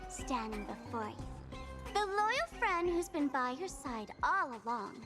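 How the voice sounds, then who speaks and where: High, animated young trickster girl. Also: slow pace, heavily acted. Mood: grandiose. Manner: playful, dramatic, taunting. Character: theatrical, mischievous young female masked trickster. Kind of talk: anime-style video game voice acting. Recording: game music clearly behind voice. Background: video game music.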